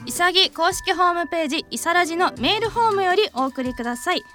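Woman host, casual teasing chat, animated high voice, music under. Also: fast talker. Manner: chatty, teasing, laughs easily. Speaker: radio show host.

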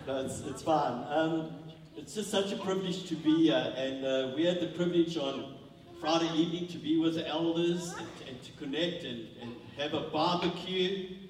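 South african guy in his 50s preaching, highish voice, big echoey hall, mic kinda far off. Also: moderate pace. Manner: repetitive restarts, grows more fervent. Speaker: visiting preacher. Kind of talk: sermon with testimony. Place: church hall.

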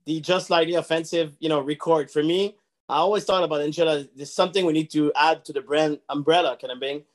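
A man who speaks natively, hosting a casual chat, halting and repeating words, full of "you know". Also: a little high, steady tone.

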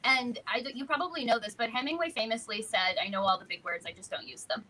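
A woman co-hosting a casual stream chat, talking in an animated, teasing way. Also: a little high, fast talker, a little hiss or hum, low-quality recording.

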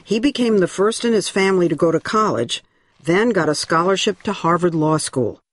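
A woman correspondent narrating a television news feature in a measured, scripted way. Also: fairly low pitch. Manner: measured, deliberate.

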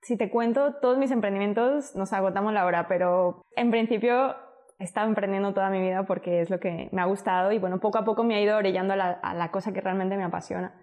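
A woman's voice: mid-range voice, fast, darkish tone. A woman in her thirties from Ecuador with an accent mixing Spain and Mexico City, chatting as a podcast guest, laughing often and trailing off mid-phrase. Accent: Spanish from Spain mixed with Mexico City. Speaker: guest, entrepreneur. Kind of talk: casual conversational storytelling.

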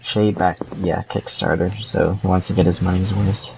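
A man's voice: low voice, little pitch movement, normal speed, gravelly, noisy background. A man talking casually as a co-host on a podcast. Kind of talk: casual chat.

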